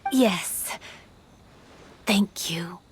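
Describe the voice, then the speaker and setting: A woman playing a gentle, wistful young female spirit in human form in an anime game, speaking softly, hesitantly and sincerely. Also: mid-range voice, slight background noise. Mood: gentle, shy. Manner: soft, hesitant, sincere, with breathy pauses. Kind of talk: anime game voice acting, dramatic monologue. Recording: soft music behind the voice.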